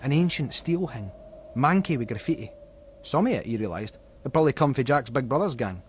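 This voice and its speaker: A Scottish man narrating a ghost story in Scots dialect, with an expressive, suspenseful storytelling delivery. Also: mid-range voice, fairly quick, slight background noise. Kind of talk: dramatic scripted narration of a ghost story for schools radio.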